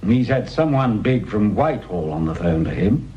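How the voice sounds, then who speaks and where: Actor as deepish, brusque British police superintendent. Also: male voice, lively intonation, normal speed, mellow, natural voice. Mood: insistent. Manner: brusque, commanding. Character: exasperated, authoritative male police superintendent. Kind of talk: radio drama acting. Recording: dull radio recording with faint noise.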